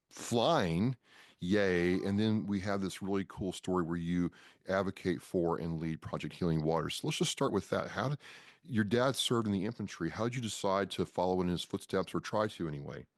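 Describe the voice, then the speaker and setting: A man's voice: sits low, normal speed, no echo, studio quality. An American man hosting a podcast interview, talking in an enthusiastic, informal way and readily jumping in. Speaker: podcast host.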